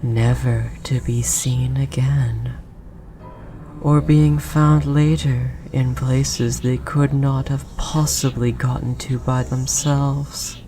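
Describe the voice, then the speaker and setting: A performer voicing an ancient forest god in a roleplay audio drama, solemn and condescending, with a breathy, whispery voice and archaic formal phrasing. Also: medium pitch, flat delivery, slow, warm tone. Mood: ominous. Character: ominous ancient forest god, possessive, condescending, gently menacing. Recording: noisy, layered forest ambience and effects. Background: forest ambience.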